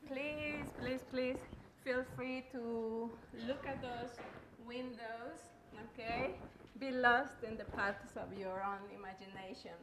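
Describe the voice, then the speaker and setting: A woman's voice: a little high, steady tone, slow. A woman with a Peruvian Spanish accent giving a personal talk as a guest speaker, hesitant, nervous and self-deprecating. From Peru. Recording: echoey room, clear background noise.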